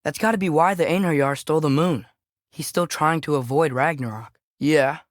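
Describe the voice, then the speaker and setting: A man's voice: fairly high pitch, normal speed, no room sound, lightly acted. Earnest teenage boy adventurer, acted by a man, dry close recording. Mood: curious, casual. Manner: casual, curious, wry asides. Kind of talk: naturalistic video game dialogue.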